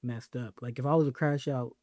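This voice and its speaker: Young man, podcast host, casual joking delivery, slang and fillers, mid-pitched voice, dry close sound. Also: steady tone, brisk, a little mellow, no echo. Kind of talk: casual solo podcast talk.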